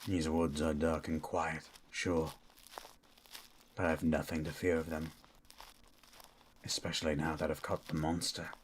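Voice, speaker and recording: A man playing a stern, menacing monster hunter in an ASMR roleplay, with a low, gruff voice and a terse, threatening manner. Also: little pitch movement, unhurried, pauses often, a little hiss or hum. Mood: cold, confident. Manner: terse, threatening, grunts hmm.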